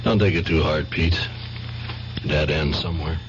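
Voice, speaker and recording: An actor playing a world-weary, matter-of-fact man offering gruff comfort in a radio drama. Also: very deep voice, normal speed. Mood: resigned. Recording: dull old radio recording, faint noise.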